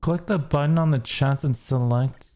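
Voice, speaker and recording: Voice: mid-range voice, moderate pace, mellow, faint music behind. A streamer reacting to a game in casual, blunt, slangy and sweary chat.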